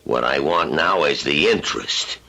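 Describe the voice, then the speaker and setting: An actor playing a coarse, mocking loan shark with streetwise tough-guy slang and a rough, husky voice, in an old-time radio drama. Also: a bass voice, animated, normal speed, sharp tone, full character voice. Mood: sly coercion.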